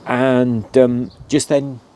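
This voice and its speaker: A man's voice: mid-range voice, little pitch movement, very slow, warm tone, a little hiss or hum. A middle-aged British man with a stuffed-up nose from hay fever, giving a rambling casual how-to talk with many "um"s, recorded outdoors. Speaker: vlogger.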